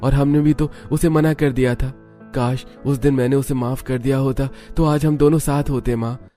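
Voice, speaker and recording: A man's voice: medium pitch, steady tone, moderate pace, dark tone, soft background music, pitched above the performer's own voice, close to an everyday voice. A man voicing a heartbroken young husband pining for his wife, pleading and sorrowful in cartoon melodrama. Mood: pleading, regretful. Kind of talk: melodramatic cartoon story dialogue.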